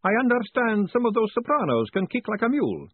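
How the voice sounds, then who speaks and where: A man voicing a cheerful, fussy male wizard professor who sounds high, bright and chatty. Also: sing-song, a little fast, slightly rough, no echo, exaggerated voice. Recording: tinny phone-like audio.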